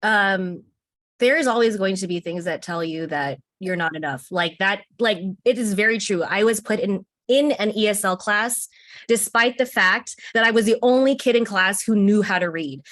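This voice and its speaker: A woman's voice: mid-range voice, moderate pace, fairly bright tone, no room sound. An American woman talking as a guest in an interview, earnest and encouraging, laughing often as she speaks. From United States. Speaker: interview guest, contributing author. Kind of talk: casual interview conversation.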